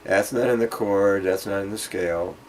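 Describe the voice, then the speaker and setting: A man giving an informal guitar lesson, speaking haltingly as he thinks aloud and demonstrates. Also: lowish voice, fairly even intonation. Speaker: guitar teacher. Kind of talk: informal instructional music lesson. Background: acoustic guitar playing.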